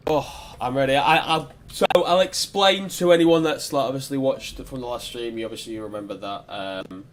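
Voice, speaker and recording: Hoarse British man livestreaming a game. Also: medium pitch, moderate pace, a little hiss or hum. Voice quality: hoarse, strained voice. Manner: informal, sweary. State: losing his voice. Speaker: game streamer. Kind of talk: casual livestream chat while gaming.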